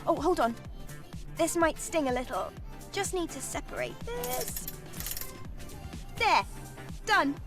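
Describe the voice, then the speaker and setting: Very high, girlish young engineer. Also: slow pace, long pauses, heavily acted. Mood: helpful, upbeat. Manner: girlish, expressive, earnest. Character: young, eager, anxious female engineer sidekick. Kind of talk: video game voice acting. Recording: slightly distant voice over music.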